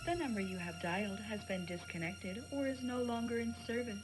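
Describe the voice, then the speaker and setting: A woman voicing an impersonal automated telephone operator with a formal, measured recorded announcement. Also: medium pitch, soft background music, natural voice. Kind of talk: recorded phone service announcement.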